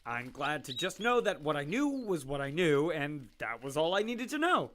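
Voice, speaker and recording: A woman's voice: low voice, big pitch swings, normal speed, fairly bright tone, slight background noise. A woman playing an awkward, chatty young male doctor who rambles and pokes fun at himself. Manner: rambling, self-deprecating and chatty. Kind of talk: audio drama acting.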